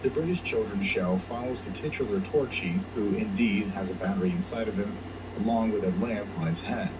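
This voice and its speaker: Male narrator on the low side, scripted countdown read, noisy far-off playback. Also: a little fast. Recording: distant, noisy playback of a video.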